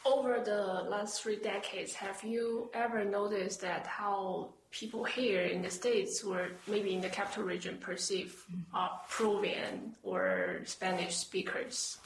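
A woman asks interview questions in a careful, deliberate way. The room around her is echoey, and noise is clearly audible in the background. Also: medium pitch.

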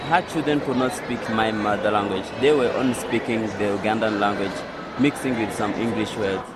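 A man's voice: fairly low pitch, a little fast. A man giving a personal account in an earnest way. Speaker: interviewee. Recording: loud street noise and crowd.

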